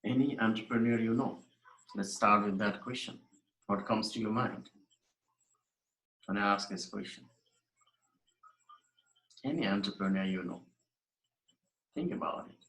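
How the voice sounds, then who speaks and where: A man's voice: on the low side, fairly bright tone, echoey room, poor audio. A man from Nepal giving a recorded university lecture over slides, earnest and emphatic, often restarting and repeating phrases.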